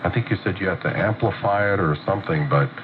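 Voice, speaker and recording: A man's voice: sits low, quick pace. A man with a congested, muffled voice, recovering from a cold, chatting casually as a ham radio operator. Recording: tinny radio receiver with static.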